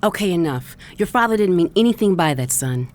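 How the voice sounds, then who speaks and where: A woman playing a gentle middle-aged mother in an audio drama, soothing and pleading to keep the peace. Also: on the low side, animated, fairly quick, lightly acted.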